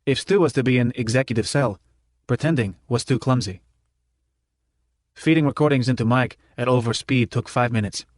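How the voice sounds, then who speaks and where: A man's voice: mid-range voice, moderate pace, dry recording. Male narrator for first-person fiction, clipped telegraphic delivery, dry studio sound. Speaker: audiobook narrator.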